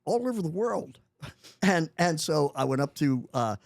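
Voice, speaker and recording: A man's voice: a little high, big pitch swings, normal speed, vocal fry, no echo. A man in his eighties, a guest in a casual interview, talking with many restarts and chuckling often. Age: 80s.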